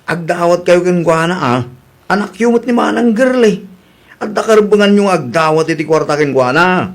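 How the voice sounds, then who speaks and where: A man as a pushy grown son with a high voice. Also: moderate pace. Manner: coaxing, insistent. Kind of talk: radio comedy drama acting.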